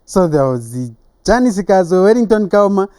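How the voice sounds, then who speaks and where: A man's voice: sits high, very animated, brisk, mellow, clean tone, no echo, studio quality. A man hosting a sports show, asking questions in a conversational interview style.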